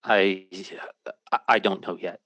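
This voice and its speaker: An actor playing an anxious, determined family man searching for his brother in a radio drama, speaking in an earnest, halting, questioning way. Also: sits low, fairly even intonation, no echo, lo-fi sound. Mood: alarmed. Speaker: protagonist and narrator.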